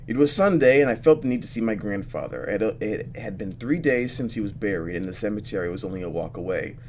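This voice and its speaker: Male let's player, lower register, expressive, switches between reading game text and commentary; faint noise and hum behind. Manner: expressive, thinking out loud. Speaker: let's player reacting to a visual novel.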